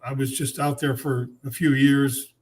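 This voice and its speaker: A man in his seventies tells his story in an unhurried, reflective way. He often pauses on "uh" and "um". His pitch sits at an ordinary middle level. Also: flat delivery. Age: 70s. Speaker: guest. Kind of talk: casual chat.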